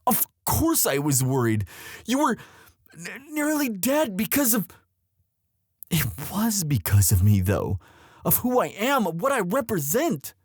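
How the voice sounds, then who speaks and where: A man playing a lovestruck young prince in an intimate audio roleplay, tender and protective, with a halting, emotive delivery. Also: sits high, wildly swooping pitch, husky, no echo, clean studio sound, full character voice. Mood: distraught, tender. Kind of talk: boyfriend ASMR audio roleplay drama.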